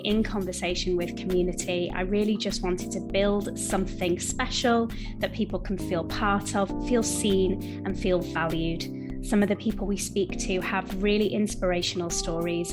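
A woman podcast host speaking in an effusive, encouraging way, moving between scripted intros and chatty interview. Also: mid-range voice.

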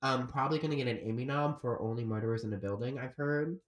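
A man's voice: mid-range voice, quick pace. Rambly young man in an echoey room. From Florida, US. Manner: rambling, swearing, lots of "like" fillers. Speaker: guest comedian. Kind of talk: casual comedic chat. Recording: roomy echo.

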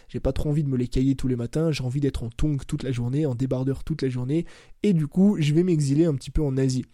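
A young man talks through his podcast in a loose, informal ramble, often correcting himself mid-sentence. His voice is very dark and mellow. The recording is dry and close, with no room sound. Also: mid-range voice, dry recording. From France. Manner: chatty, loose and informal, says "tu vois" and "bah" constantly, self-corrects. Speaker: podcast host. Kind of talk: casual solo podcast monologue. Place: at home.

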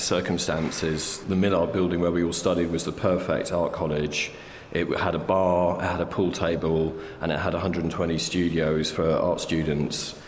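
A deep-voiced man in his fifties reflects on his life in an unhurried, hesitant way, full of little fillers. His voice rings through a roomy hall with a long tail of reverb, and faint ambience sits behind him. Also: a little hiss or hum. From England. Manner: thoughtful, hesitant, many fillers. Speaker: artist guest. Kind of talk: reflective interview conversation.

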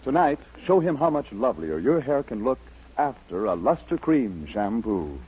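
A man's voice: mid-range voice, sing-song, normal speed, slightly rough. A man delivering a scripted 1940s radio commercial. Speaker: commercial announcer. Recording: dull old radio, faint music behind.

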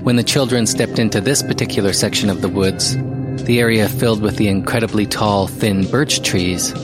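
An actor playing an investigative podcast host, narrating a mystery audio drama in a measured way with deliberate pauses. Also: male voice, fairly low pitch, steady tone, natural voice. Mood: ominous, measured. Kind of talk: scripted audio drama narration, documentary style. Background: music.

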